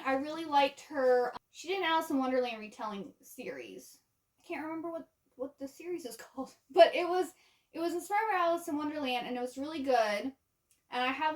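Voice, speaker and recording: A woman's voice: high-pitched, distant mic. A woman talking informally about books as a vlogger, in a chatty style with plenty of filler words. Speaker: book vlogger. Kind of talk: casual book chat to camera with some reading aloud of blurbs.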